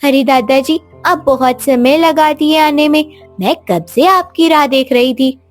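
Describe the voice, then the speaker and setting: Lady doing a eager little village girl, high pleading voice, cartoon story, music behind. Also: a little slow. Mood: eager, coaxing. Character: eager, trusting young village girl, easily upset. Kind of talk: animated moral story dialogue.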